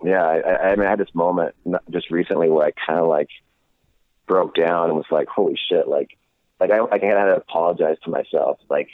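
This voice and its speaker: A young adult man in casual conversation as a guest, talking haltingly with many fillers and restarts. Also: deep voice, fairly even intonation, dry recording. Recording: tinny phone line.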